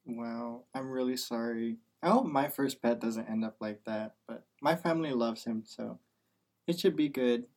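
A man's voice: mid-range voice, moderate pace, darkish tone. A nineteen-year-old man co-hosting a podcast, playful and teasing in casual chat. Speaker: podcast co-host, business student. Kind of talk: scripted intro, then casual chat.

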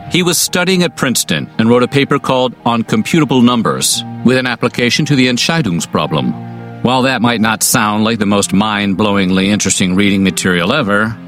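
A man's voice: fairly low pitch, a little fast, a bit bright, faint music behind. A man reading scripted history documentary narration with a casual, wry turn of phrase.

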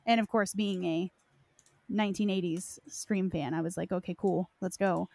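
A woman's voice: on the low side, steady tone, moderate pace, vocal fry, mellow, a little hiss or hum. A woman in casual podcast conversation about game lore, phrasing loosely with lots of "like" as a filler. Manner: hesitant phrasing with frequent "like". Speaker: podcast speaker.

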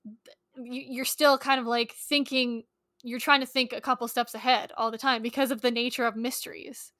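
Bright, higher-pitched woman, dry close sound. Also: little pitch movement, dry recording. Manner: informal, halting, thinking aloud. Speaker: podcast co-host. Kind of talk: casual book-club chat.

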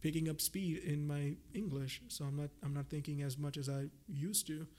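A man's voice: fairly high pitch, fairly even intonation, moderate pace, dark tone. A young man from India, a native Gujarati speaker, chatting casually as an interview guest, talkative and often repeating and rephrasing his phrases. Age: young adult. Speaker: podcast guest, PhD researcher.

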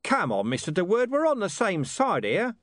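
A stubborn, wry police commander in an audiobook, as a male narrator acts him. He needles and presses with sardonic gruffness, his pitch moving widely in a dry, close recording. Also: huge pitch swings, moderate pace, a bit bright, dry recording, heavily acted. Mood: pressing. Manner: sardonic, pressing, growling at times. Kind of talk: audiobook character dialogue.

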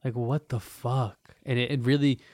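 A man's voice: mid-range voice, steady tone, moderate pace, a little mellow, no echo, clean studio sound. A man in his twenties hosting a podcast, talking in a loose, profane, meandering way with lots of restarts. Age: 20s. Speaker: podcast host. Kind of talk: casual rambling monologue.

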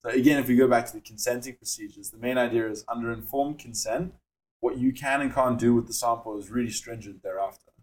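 South African man, young, founder being interviewed, steady explainer, mid-pitch voice. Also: low-quality recording. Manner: explanatory, measured, with frequent fillers. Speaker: startup founder. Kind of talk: podcast interview.

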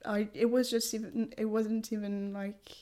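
A woman's voice: medium pitch, level tone, moderate pace, dark tone. A French woman in her twenties, speaking English with a French accent as a podcast guest, hesitant, with many fillers and restarts. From France. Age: 20s. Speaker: guest, English language student. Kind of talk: casual podcast conversation.

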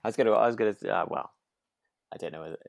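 A man's voice: fairly low pitch, rapid-fire, gravelly, dry recording. A man chatting casually as a podcast co-host, thoughtful and tentative, often seeking agreement. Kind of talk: relaxed chat discussing a radio drama.

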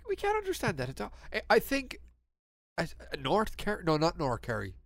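A man's voice: high-pitched, wildly swooping pitch, normal speed, creaky, no room sound, studio quality. A young man with a west-of-Ireland accent, chatting on a podcast in a teasing way with playful, exaggerated reactions. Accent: west of Ireland Irish. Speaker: podcast co-host. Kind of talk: casual chat.

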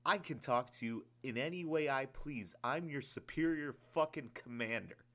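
A man voicing a grieving male army commander who curses and yells harshly. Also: mid-range voice, lively intonation, normal speed, pitched above the performer's own voice, natural voice. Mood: contemptuous. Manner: harsh, yelling, cursing. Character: grieving male soldier commander, bitter and explosive.

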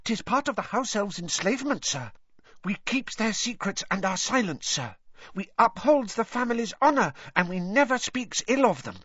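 A woman voicing an excitable, timid male house elf in a storybook, with a squeaky voice and deferential, broken third-person grammar. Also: moderate pace, slightly rough, no room sound, lo-fi sound. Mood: confidential, serious.

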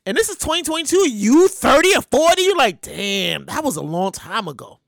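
A podcast host, talking casually and comically in an animated, slangy way with lots of repeated words. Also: high voice, a little fast, creaky, no echo, clean studio sound. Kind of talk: casual comedic monologue.

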